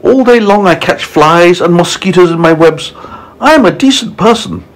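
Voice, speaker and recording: Man doing a high, plaintive lady spider. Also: expressive, moderate pace, low-quality recording. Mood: wounded, indignant. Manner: plaintive, sorrowful. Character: mournful, wronged mother spider in a storybook.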